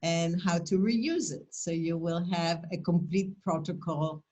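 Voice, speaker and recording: A woman's voice: lowish voice, unhurried, mellow, muffled recording, a little hiss or hum. An older woman from Poland with a Polish accent, presenting and answering questions in a webinar over a video call, speaking in an emphatic, motivational way.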